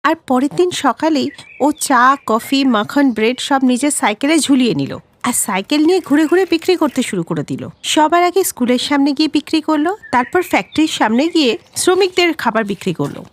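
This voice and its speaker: A woman's voice: high-pitched, sing-song, fairly quick. A woman reading scripted narration for a story, as a storyteller.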